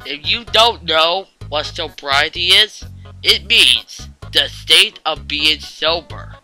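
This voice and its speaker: A man hosts a casual fan-theory video in a jokey, sweary way. His voice is fairly high and very bright, and he talks slowly over faint music. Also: expressive, low-quality recording. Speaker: online video host.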